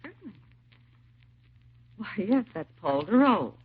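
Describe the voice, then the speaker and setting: Casting: genteel worried mother, husky low voice with lively intonation, proper and hesitant, vintage radio drama. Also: measured pace, full character voice. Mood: polite, puzzled. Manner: polite, proper, tentative. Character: genteel, concerned suburban mother, polite and hesitant. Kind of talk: 1950s radio drama acting. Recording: tinny, narrow old radio recording.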